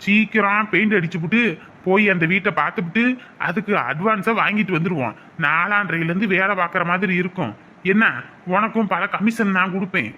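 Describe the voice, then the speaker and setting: A man's voice: sits high, fairly quick, sharp tone. An actor playing a scheming, aggrieved male house painter, talking in speech in drama dialogue. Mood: conspiratorial. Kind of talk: film or serial drama dialogue. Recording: dull lo-fi sound, faint noise.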